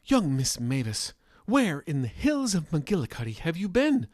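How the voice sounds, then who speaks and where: Man voicing a fretful, fussy housemaid, pitched up. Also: wildly swooping pitch, a little slow, a little mellow, dry recording, clean studio sound. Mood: fretting. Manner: fretful, fussing. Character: fussy, anxious housemaid woman, protective and disdainful. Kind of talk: scripted audio fiction storytelling.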